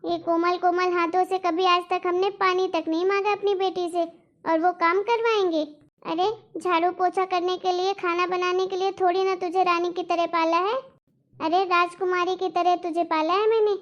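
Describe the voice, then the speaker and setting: Woman voicing a scolding, very high-pitched cartoon mother-in-law. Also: little pitch movement, normal speed, highs cut off. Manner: scolding, emphatic. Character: domineering, scornful mother-in-law spoiling her daughter. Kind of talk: Hindi cartoon family melodrama.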